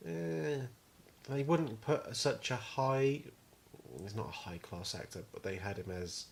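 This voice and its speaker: A man's voice: mid-range voice, a little slow, creaky, a little hiss or hum. A young British man co-hosting a podcast, talking casually in a rambling, sweary way. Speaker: podcast co-host. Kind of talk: casual chat.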